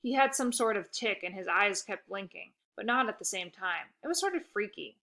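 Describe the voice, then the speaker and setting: A woman reading a children's book aloud in an expressive narrating style. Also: medium pitch, moderate pace. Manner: expressive, occasional stumbles over words. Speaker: storybook reader.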